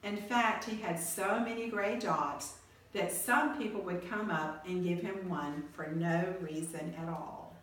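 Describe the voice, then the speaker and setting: A woman storyteller reading a children's storybook aloud in an expressive style. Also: on the low side, measured pace. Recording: echoey room, far from mic, faint noise.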